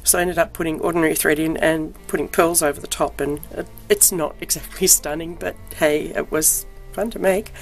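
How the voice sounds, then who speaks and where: A middle-aged woman with a croaky voice from being unwell, chatting casually about her craft projects with lots of ums. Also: deep voice, steady tone, a little fast, few pauses, faint music behind, poor audio. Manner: chatty, frequent ums, self-deprecating. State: croaky voice from illness. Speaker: crafting vlogger. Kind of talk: casual show-and-tell.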